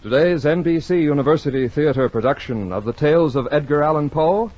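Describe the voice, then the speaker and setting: A man's voice: on the high side, lively intonation, brisk. 1940s American male announcer, scripted and formal, carefully enunciated, muffled old broadcast with faint music behind. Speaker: radio announcer. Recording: dull vintage 1940s radio broadcast recording.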